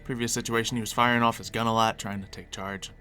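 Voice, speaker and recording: A man's voice: mid-range voice, level tone, fast talker, sharp tone, faint music behind, natural voice. A man playing a level-headed, questioning survivor and confidant in an improvised zombie game roleplay, speaking conversationally. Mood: calm, reassuring.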